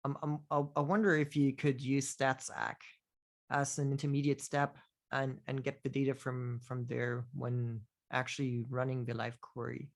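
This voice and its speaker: Halting man hosting a tech call. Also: mid-range voice, flat delivery. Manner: halting, many fillers and restarts. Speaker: host of community office hours. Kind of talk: casual technical Q&A.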